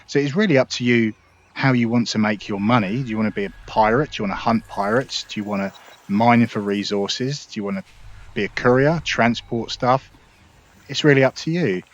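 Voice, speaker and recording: A man's voice: mid-range voice, a little fast, lo-fi sound. A man hosting a casual gameplay tutorial, explaining things in a chatty, upbeat way. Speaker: gaming video host.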